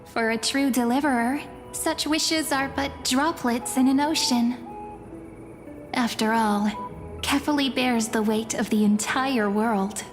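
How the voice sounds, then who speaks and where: A woman's voice: a little high, fairly even intonation, a little slow, lightly acted. A woman playing an enigmatic fortune-telling guide in a fantasy game, composed, musing and mysterious. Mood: calm, mysterious. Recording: long hall reverb under music.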